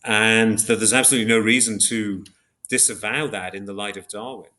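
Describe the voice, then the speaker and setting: Low-pitched man explaining ideas, measured and hesitant. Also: fairly even intonation, sharp tone. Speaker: podcast guest, philosopher of biology. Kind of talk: explanatory academic interview talk.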